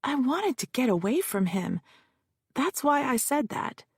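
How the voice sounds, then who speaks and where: A woman's voice: high-pitched, sing-song, a little slow, breathy edge, no echo, clean studio sound, pitched above the performer's own voice, exaggerated voice. A woman voicing a young female witness with a Southern California accent, answering in short, defensive replies.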